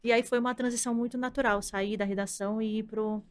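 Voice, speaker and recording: Thoughtful woman guest, slightly high voice. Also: fairly even intonation, fast talker. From Brazil, from the countryside. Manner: thoughtful, explanatory, frequent "né?". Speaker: content director, guest. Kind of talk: casual interview conversation.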